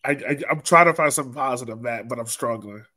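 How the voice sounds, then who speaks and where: A man's voice: mid-range voice, fast talker, pushed and bright. An American man in his thirties hosting a casual sports chat on a video call, thinking aloud and repeating himself. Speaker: podcast host. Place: small room indoors, video call. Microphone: video call microphone.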